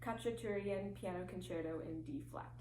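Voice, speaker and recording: A teenage female high school freshman reading her poem aloud. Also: normal speed. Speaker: student reader. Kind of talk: scripted poetic reading. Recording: echoey room, faint hum.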